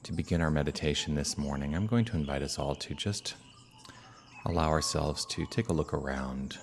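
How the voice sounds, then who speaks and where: A man speaking as a minister leading a guided meditation, calm and unhurried, with long soothing pauses. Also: rumbling low. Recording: birdsong and outdoor ambient noise behind. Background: birdsong.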